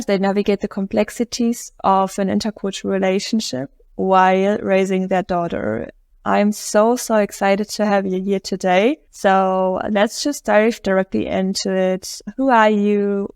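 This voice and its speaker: A woman hosts an interview podcast and reads an eager, scripted welcome. Her voice has a gravelly fry, and the recording is close and dry. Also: medium pitch, steady tone, measured pace, darkish tone, no room sound. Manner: enthusiastic, scripted intro. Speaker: podcast host.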